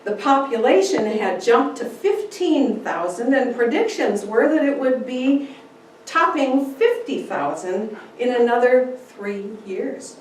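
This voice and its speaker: A woman giving a dramatic reading of a memoir, telling it in a deliberate, theatrical way with pauses for effect. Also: a little high, lively intonation. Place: large echoey indoor room. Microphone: distant camera microphone. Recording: very echoey room, distant, faint noise.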